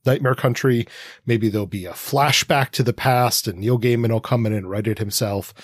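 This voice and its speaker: A man's voice: medium pitch, normal speed, dry recording. Enthusiastic male podcast co-host, dry sound. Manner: enthusiastic, keen fan. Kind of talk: podcast discussion with prepared remarks.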